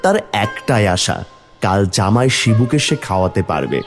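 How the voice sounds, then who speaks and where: A man's voice: fairly low pitch, big pitch swings, normal speed. A man reading scripted fairy-tale narration as the storyteller of a cartoon. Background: music.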